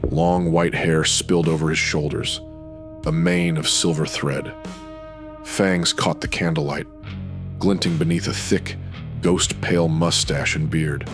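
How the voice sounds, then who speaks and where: Male narrator, extremely low bass, brooding pulp noir delivery in clipped phrases, prominent music underneath. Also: level tone, measured pace. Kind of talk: dramatic scripted noir narration.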